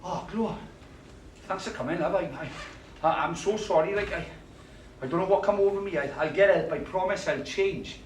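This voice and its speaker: An actor playing a volatile, violent jilted man. He swears heavily and swings from hushed confiding to roaring rage. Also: high-pitched, moderate pace, a little hiss or hum, lightly acted. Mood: rueful. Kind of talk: theatrical monologue. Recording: echoey room, distant mic, music behind.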